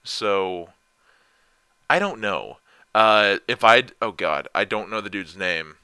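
A young man with a deep yet bright, clear voice talks slowly into a close, dry desk mic. He rambles, trails off and restarts, pitch swinging widely as he jokes at his own expense. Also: very animated, dry recording. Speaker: vlogger answering viewer questions. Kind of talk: casual rambling chat.

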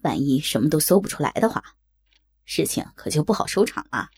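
A woman playing a cautious, hesitant deputy mayor who speaks in a measured, deliberate way in an audio drama. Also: deep voice, very animated, a little mellow, slightly rough, exaggerated voice. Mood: cautious, firm.

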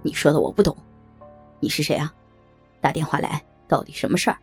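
A woman's voice: deep voice, a little fast, breathy edge, faint music behind. A woman playing a guarded, scheming, corrupt male police chief in an audio drama.

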